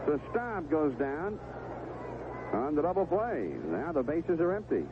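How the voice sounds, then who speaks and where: A man's voice: fairly high pitch, very animated, a little slow, lo-fi sound, background noise. An American man calling baseball play-by-play in a live radio commentary style, on an old 1970s broadcast. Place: stadium broadcast position.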